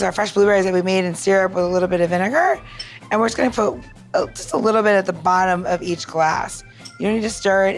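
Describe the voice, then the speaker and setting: A woman hosting a podcast, chatting informally as she talks through mixing a drink, often checking in with the listener. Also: medium pitch. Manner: chatty, informal, frequent "like" and "right?". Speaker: podcast host and bartender. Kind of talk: casual chat, talking through a cocktail demonstration.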